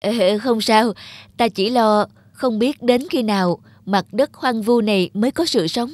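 A man's voice: high-pitched, measured pace, no room sound. Hesitant, devoted young peasant played by a man. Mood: shy, hopeful. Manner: hesitant, soft-hearted. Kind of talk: animated fairy tale acting.

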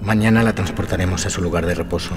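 An actor playing a troubled, morbid, reclusive aristocrat in a gothic audio drama, intense, formal and theatrical. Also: male voice, low voice, quick pace, over music, lightly acted. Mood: grim resolve.

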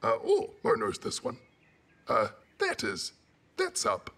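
A man with a New Zealand accent voicing a friendly, childlike cartoon monster, gravelly and hesitant. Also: sits high, wildly swooping pitch, slow, fairly bright tone, full character voice. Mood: eager. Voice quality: gravelly, husky. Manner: hesitant, simple, refers to self by name. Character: friendly, wide-eyed furry monster, childlike and eager. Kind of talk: preschool cartoon voice acting.

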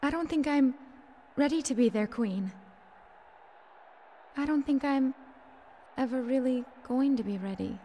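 A woman playing a gentle, anxious young princess in a video game cutscene, speaking softly and hesitantly with formal politeness. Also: sits high, measured pace, pauses often, a little hiss or hum. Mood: nervous, doubtful. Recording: loud music under the voice.

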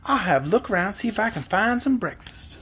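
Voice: medium pitch, animated, brisk, fairly bright tone, a little hiss or hum. A reader voicing an earnest, slightly prim young country girl in a storybook, curious and polite. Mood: wondering.

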